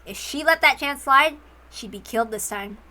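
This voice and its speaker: High, bright woman reading dramatically. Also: moderate pace. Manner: expressive. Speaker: narrator, reader. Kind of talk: dramatic visual novel read-aloud.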